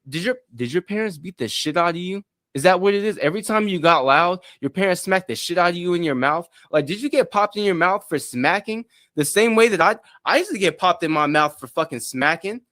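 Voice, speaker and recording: A man in a furious streaming rant, loud and profane, taunting his targets. His voice sits high for a man, and he keeps up a relentless, mocking push. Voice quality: deep voice in his own view. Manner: profane, loud, taunting, mocks others' monotone. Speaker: streamer in a played clip. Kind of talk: angry rant.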